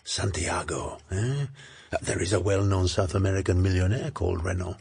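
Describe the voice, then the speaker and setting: An actor playing a proud, fastidious foreign detective with a French accent and precise, formal phrasing in a radio drama. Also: male voice, low-pitched, creaky, a little mellow, slightly rough, heavily acted. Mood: intrigued.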